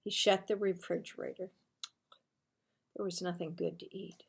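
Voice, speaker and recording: A woman reading a children's storybook aloud in a deliberate, expressive storytelling way. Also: medium pitch, breathy edge.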